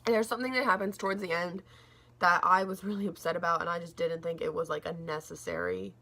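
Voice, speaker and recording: A young woman reviewing a book in a casual, rambling vlog, her throat a little scratchy and gravelly, with lots of fillers and restarts. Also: on the low side, expressive, fast, bright, forceful tone. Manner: rambling, says like a lot, restarts often.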